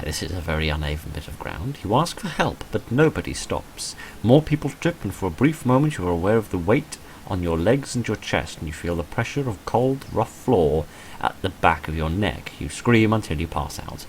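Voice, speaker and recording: A man with a deep voice reads a visual novel aloud while playing. His delivery swings widely in pitch as he dramatises the text, then drops into dry, understated asides. A light noise sits behind the voice. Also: very animated, moderate pace, rough recording. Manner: dry, understated humour, dramatic when reading. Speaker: gamer recording a playthrough.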